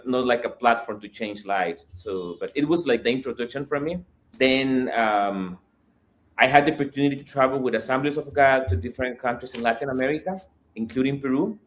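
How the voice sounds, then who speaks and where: A young Salvadoran man, a Spanish-speaking missionary, answering interview questions carefully with frequent pauses and fillers. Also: medium pitch. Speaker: missionary guest.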